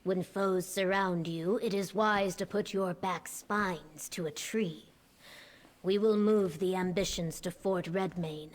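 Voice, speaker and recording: A woman voicing a steadfast female lizard-folk legion commander in a video game, measured, solemn and formal. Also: on the low side, fairly even intonation. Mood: grave, resolute. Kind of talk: video game character acting.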